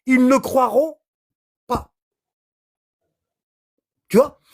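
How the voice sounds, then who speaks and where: A livestreamer in casual commentary, explaining scripture in an animated way and often checking in with short questions to the listener. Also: high-pitched, drawn-out words. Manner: animated, acts out little dialogues, keeps checking in with "hein?" and "d'accord?". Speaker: livestreamer explaining Quran verses.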